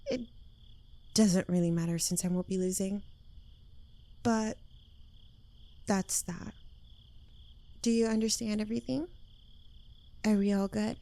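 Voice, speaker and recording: Slow, smug witch girlfriend, acted by a woman. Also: mid-range voice, gravelly, mellow, dry recording. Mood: dismissive, smug, then coaxing, reassuring. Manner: teasing, smug, unhurried, drifting into whispers. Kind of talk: ASMR roleplay, soft intimate scripted acting. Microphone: close microphone.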